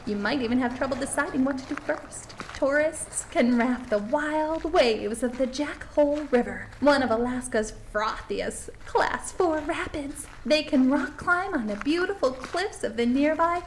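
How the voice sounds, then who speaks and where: Woman acting as perky documentary host lady, salesy and gushing, highish voice, some background noise. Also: a little slow, hardly any pauses. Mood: bright, promotional. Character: chirpy, polished woman presenter of a small-town tourism documentary. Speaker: presenter and interviewer. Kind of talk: promotional documentary narration and interviewing.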